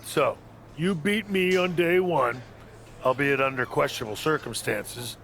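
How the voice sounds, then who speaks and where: A wry wrestling coach from a video game. His voice sits fairly high with plenty of fry, recorded over faint background noise. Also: a little slow, fairly bright tone. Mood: wry, challenging. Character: wrestling trainer setting challenges for a rookie. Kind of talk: video game cutscene dialogue.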